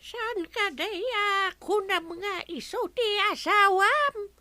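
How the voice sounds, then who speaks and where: Audio drama role: suspicious old Ilocano auntie, pinched sharp voice, extremely high, querulous. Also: female voice, slow pace, dry recording, full character voice. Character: forgetful, suspicious old aunt, blunt and teasing. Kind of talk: regional audio drama acting.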